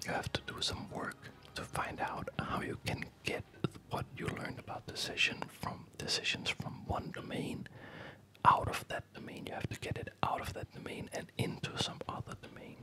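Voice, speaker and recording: A man whispering a rambling, reflective ASMR commentary while he plays a game, with a breathy voice. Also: moderate pace, sharp tone, poor audio.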